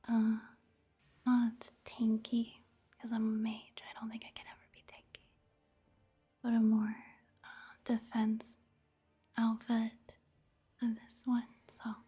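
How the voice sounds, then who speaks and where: Casting: female ASMR game commentator, whispery and breathy, soft mellow tone, slightly high register, hushed halting delivery. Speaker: gaming ASMR creator.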